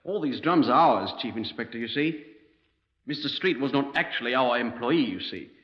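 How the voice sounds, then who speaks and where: A man's voice: mid-range voice, expressive, moderate pace, natural voice. An actor playing a precise, fussy, deferential oil company man in a 1950s radio crime drama. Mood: fussy, explanatory. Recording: dull, roomy 1950s radio recording.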